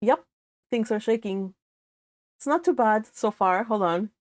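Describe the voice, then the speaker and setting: A Californian woman hosting a read-along, reading a novel aloud and chatting about it in an informal, animated manner. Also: mid-range voice, a little slow, no echo, studio quality. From California, United States. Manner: animated, informal, exclaiming asides. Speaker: host of a live read-along.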